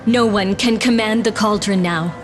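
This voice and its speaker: A woman's voice: mid-range voice, normal speed, natural voice. A woman playing a resolute young exiled princess in a video game, speaking formally and earnestly. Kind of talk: dramatic video game voice acting. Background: game music.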